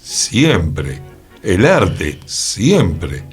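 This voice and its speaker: Argentine man for radio discussion: lowish pitch, emphatic, opinionated, checks in with tag questions, music underneath. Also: wildly swooping pitch, extremely slow, slightly rough. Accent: Rioplatense Argentine.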